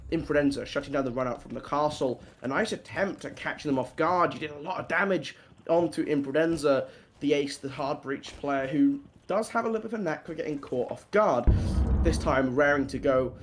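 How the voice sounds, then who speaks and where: A man's voice: a little high, fairly quick, soft background music. A man commentating a tactical shooter esports match, chatty and joking with his co-caster between plays. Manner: chatty, self-deprecating banter between plays. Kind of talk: play-by-play commentary. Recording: broadcast with noisy game audio.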